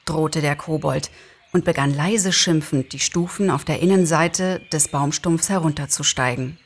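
A woman narrating a story in a lively, dramatized reading. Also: deep voice, normal speed, husky. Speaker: narrator and reader.

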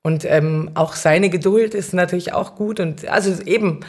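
A woman's voice: on the low side, moderate pace, a little mellow. A middle-aged woman answering as an interview guest, conversational and reflective, often searching for the right words. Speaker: city councillor, podcast guest.

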